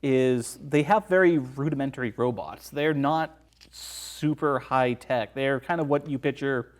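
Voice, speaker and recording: A man's voice: mid-range voice, unhurried. A man as a podcast guest in a casual film discussion, explaining things informally.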